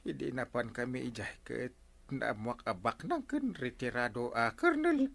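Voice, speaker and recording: A man's voice: mid-range voice, sing-song, moderate pace, vocal fry, a crowd in the background. A man playing a flustered, blustering village captain in an Ilocano radio comedy, with a stammering, hesitant delivery and an Ilocano accent. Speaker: comedy drama actor. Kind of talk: Philippine radio comedy drama acting.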